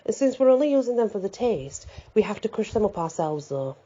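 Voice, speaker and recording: Woman reading an easygoing teasing guy, husky. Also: medium pitch, big pitch swings, a little fast, dark tone, muffled recording, voice pushed up. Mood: defensive.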